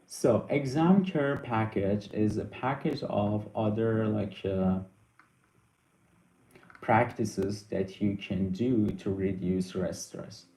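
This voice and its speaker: A young man whose first language is Persian hosts a casual live Q&A. He reads out viewer questions and answers them haltingly, with many ums and restarts. His voice sits a little low and sounds mellow, and the room around him has a noticeable echo.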